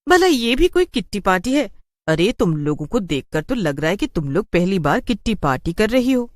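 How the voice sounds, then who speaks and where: A woman voicing a plucky, chatty human woman in a cartoon horror story, speaking in an animated, coaxing way. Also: medium pitch, moderate pace, no echo, voice pushed up, close to an everyday voice. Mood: cheeky, teasing.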